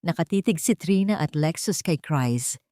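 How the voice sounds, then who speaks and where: A woman's voice: low voice, expressive, moderate pace, dark tone, no echo, studio quality. A woman narrator reading a scripted story in a dramatic style.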